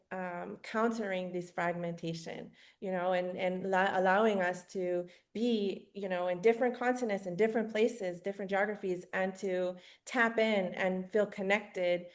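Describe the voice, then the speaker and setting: A woman moderating an academic discussion, speaking unscripted and affirming, in measured phrases with pauses. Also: mid-range voice. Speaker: panel moderator.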